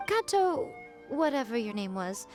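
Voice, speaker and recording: A woman voicing a sly, haughty fox shrine priestess in a video game, teasing in a smug, unhurried manner. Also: fairly high pitch, sing-song, a little mellow. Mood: breezy, haughty. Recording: game music faintly behind.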